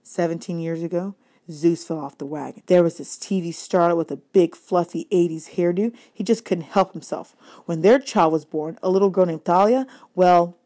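A woman's voice: on the low side, normal speed, warm tone, lightly acted. A woman voicing a nervous, glum young male satyr sidekick in a children's audiobook, explaining things anxiously. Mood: grave, explaining. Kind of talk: children's fantasy audiobook character.

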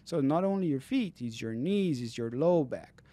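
Man, thirties, physical therapist, earnest explainer, mellow tone, dry studio sound. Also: a little high, expressive, moderate pace, no echo. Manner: earnest, explanatory, non-native phrasing. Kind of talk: explaining in casual interview. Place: dark podcast studio. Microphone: studio mic on boom arm, headphones.

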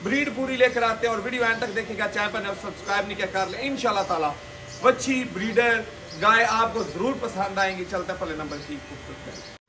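A young man with a Pakistani Punjabi accent hosting a livestock sales video, chatting in a lively salesman patter at an outdoor cattle shed. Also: high voice, fairly quick, background noise. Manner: chatty salesman patter, repeating stock phrases. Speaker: vlogger showing dairy cattle.

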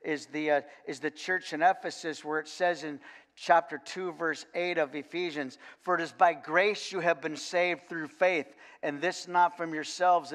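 An older man preaching a sermon in a folksy, animated way, full of repeated words and "okay?" asides. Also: fairly high pitch. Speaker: pastor. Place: large church sanctuary, indoors. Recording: long reverb of a church hall.

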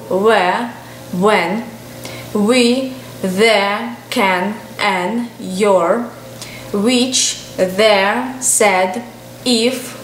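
A woman's voice: mid-range voice, reverberant, a little hiss or hum, low-quality recording. A woman in her thirties with a Russian accent, chatting candidly as a tutor and parent, then reading English words one by one into a webcam at her desk. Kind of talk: casual vlog-style lesson, reading word lists. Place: small indoor room.

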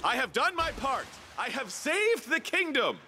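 A man voicing a cocky, excitable, goofy monster hunter in a video game, hammy and boastful. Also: extremely high pitch, unhurried, very noisy, full character voice. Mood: boisterous. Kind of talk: video game combat voicelines. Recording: game sound effects behind voice.

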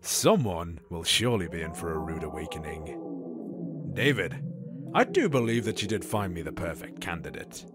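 An actor playing a cultured, commanding gang boss in an audio drama, speaking in a measured, formal, theatrical way. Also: male voice, low voice, big pitch swings, music in the background. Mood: smugly pleased.

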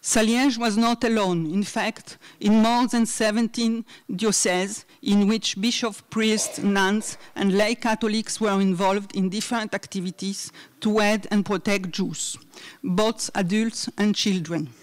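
A woman's voice: medium pitch, fairly even intonation, a little slow. A middle-aged woman giving a conference talk from notes at a university hall, hesitant with many fillers, speaking into a podium mic. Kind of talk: academic talk read from notes. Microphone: desk mic on a stand.

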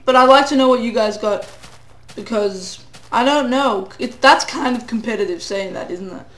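A man's voice: very high-pitched, normal speed, fairly bright tone, echoey room, faint music behind, low-quality recording. A teenage boy with a cold giving rambling, self-deprecating commentary while playing a game. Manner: rambling, self-deprecating, sing-song asides. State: a cold, voice getting hoarse. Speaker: gamer.

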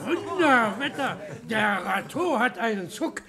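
A crude, chatty village gossip in a radio play. He talks slowly in a high voice, over clear crowd noise. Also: big pitch swings, exaggerated voice. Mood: curious. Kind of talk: German radio crime drama acting. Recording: crowded tavern scene, voices around.

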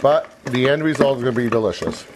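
A man in his fifties talks quickly through an informal cooking demonstration. His pitch is average for a man. He is chatty and circles back to rephrase what he just said, recorded on a lapel mic in a home kitchen. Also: lively intonation, heavy background noise. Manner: chatty, repeats and rephrases himself. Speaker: cooking show host.

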